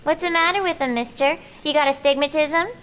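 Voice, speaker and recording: A woman's voice: sits high, fairly quick, fairly bright tone. A woman playing a cheeky, scheming little girl in 1940s radio comedy style, pestering adults in childish slang. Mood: innocent, cheeky. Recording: noisy live recording with audience.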